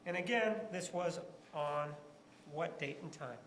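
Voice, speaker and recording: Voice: medium pitch, a little slow, a bit bright. A lawyer questions a witness in an echoing courtroom, with faint noise behind. The voice sits in a middle register. The questions are pointed and leading, often closed with a short tag demanding agreement. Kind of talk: courtroom cross-examination. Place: large indoor courtroom.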